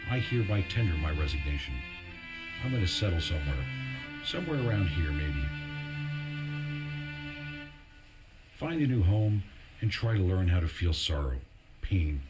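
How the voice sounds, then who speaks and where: Worn out military major for a video game, real low voice, slow solemn letter reading, music behind him. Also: male voice, sing-song. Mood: weary, empty. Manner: solemn, measured, weary. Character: weary, grieving army major reading his farewell letter. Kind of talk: dramatic scripted monologue in a military video game. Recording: music under the voice.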